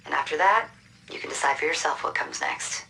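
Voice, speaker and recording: An actress playing a secretive resistance hacker who briefs a new recruit over a link. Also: female voice, sits low, huge pitch swings, vocal fry, pushed and bright, faint music behind, full character voice, low-quality recording. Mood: urgent. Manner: brisk, urgent, conspiratorial. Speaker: mission handler.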